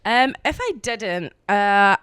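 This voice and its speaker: A woman's voice: mid-range voice, animated, slow, fairly bright tone, smooth, dry recording. Young Glaswegian woman, podcast guest, playful and jokey, clean resonant tone, dry close sound. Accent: Glaswegian Scottish. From Glasgow, Scotland. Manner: playful, joking, laughs often. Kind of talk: casual podcast chat.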